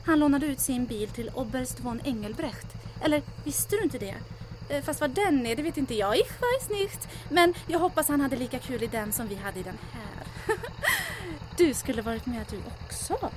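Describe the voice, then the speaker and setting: A woman's voice: sits high, expressive, a little hiss or hum. A woman acting a brash, flirtatious schemer in an audio drama, with a playful, coaxing manner.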